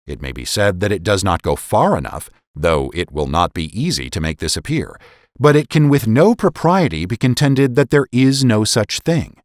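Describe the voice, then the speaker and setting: Male narrator, lower register, very animated intonation, formal oratorical delivery for a dramatic essay reading. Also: normal speed, no echo, studio quality. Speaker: podcast host and narrator.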